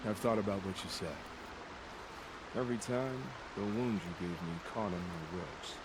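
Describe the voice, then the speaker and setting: An actor playing a serene, bloodthirsty killer in a video game, with poetic, savoring phrasing. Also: male voice, low-pitched, normal speed, noisy background, close to an everyday voice. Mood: wistful, savoring. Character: serene bloodthirsty male killer who relishes combat. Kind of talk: video game drama acting. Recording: clear outdoor game ambience behind voice.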